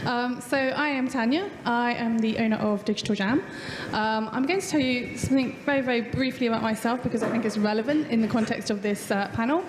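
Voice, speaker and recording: A woman raised in Britain introducing herself on a conference panel in a brisk, direct way. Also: fairly high pitch. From Hong Kong, raised in Britain. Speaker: panelist, studio owner. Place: conference hall. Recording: roomy hall with long reverb and background noise.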